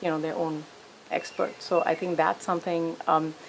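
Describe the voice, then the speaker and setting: A woman's voice: low voice, flat delivery, normal speed, gravelly, a little hiss or hum, poor audio. A woman from India, a narrative therapist talking in a thoughtful, reflective way, often saying "you know". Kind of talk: reflective conversation.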